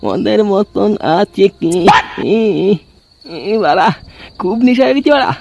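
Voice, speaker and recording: A man's voice: sits high, animated, measured pace, creaky, darkish tone, dull audio, heavily acted. A man voicing a crude, foul-mouthed drunken husband in a comedy cartoon, slurring and grumbling. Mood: drunk, sleepy. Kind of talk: amateur comedy cartoon voice acting.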